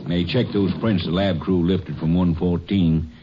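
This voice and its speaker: An actor playing an easygoing small-town sheriff who talks in a relaxed, folksy drawl. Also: male voice, deep voice, a little slow, slightly rough. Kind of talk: 1950s radio drama acting. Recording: muffled vintage radio broadcast with faint noise.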